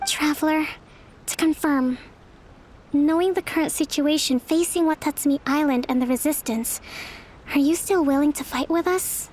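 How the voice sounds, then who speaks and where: A woman playing a gentle, composed young resistance leader in a video game, measured, formal and resolute. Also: sits high, slight background noise, close to an everyday voice. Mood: solemn, resolute. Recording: light music behind the voice.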